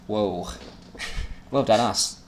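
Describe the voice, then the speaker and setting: A man's voice: on the low side, drawn-out words, a little hiss or hum. A man co-hosting a casual comedic podcast, reading aloud from his teenage fan fiction and joking about it with frequent laughter. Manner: reads aloud then jokes, frequent laughs. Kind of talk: casual comedic read-through chat.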